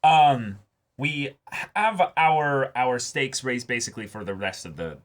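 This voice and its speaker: A man's voice: mid-range voice, expressive, measured pace. A man co-hosting a reaction show, casually discussing a TV episode in an emphatic, eager way.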